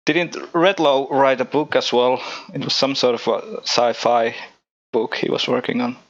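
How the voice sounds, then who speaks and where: A man's voice: mid-range voice, steady tone, unhurried. Laid-back man on a dull mic. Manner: laid-back, laughs between words. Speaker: podcast host. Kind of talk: casual chat.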